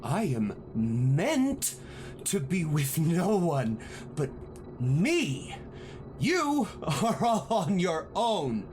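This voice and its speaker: An actor playing an aloof, self-centred emotion spirit in an audio drama, drawn-out and disdainful. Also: male voice, on the high side, very animated, a little mellow, slight background noise, full character voice. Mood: scornful, aloof.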